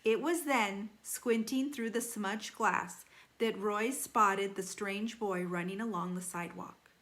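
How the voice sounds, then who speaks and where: A woman reading a children's novel aloud like a teacher, animated, with deliberate emphasis and dramatic slowing on key phrases. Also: medium pitch. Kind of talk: expressive children's read-aloud.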